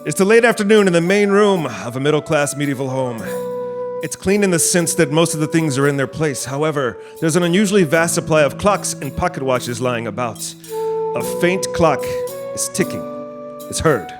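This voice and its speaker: A man's voice: fairly high pitch, animated, normal speed, over music. A man hosting and narrating a live audio play from a theatre stage. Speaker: host and narrator. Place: theatre stage before an audience.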